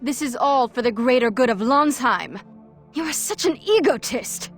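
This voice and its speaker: A woman's voice: high voice, animated, normal speed, faint music behind. A woman voicing a stern, self-righteous female antagonist in a fantasy video game, formal and accusatory. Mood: stern, accusing. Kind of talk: video game cutscene acting.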